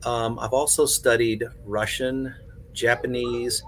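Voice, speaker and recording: A man in casual podcast conversation, telling stories in an animated way with plenty of conversational fillers. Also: medium pitch, slow, poor audio. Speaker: podcast panelist. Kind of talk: casual chat.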